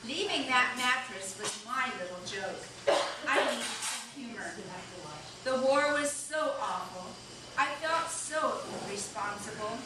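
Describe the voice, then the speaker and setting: A woman's voice: on the high side, expressive, slow. A woman playing a solemn, reflective male American president from the Civil War in a readers' theater stage reading. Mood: wry, regretful. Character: solemn, reflective Abraham Lincoln in heaven, adult male president. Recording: echoey room, distant mic, noisy.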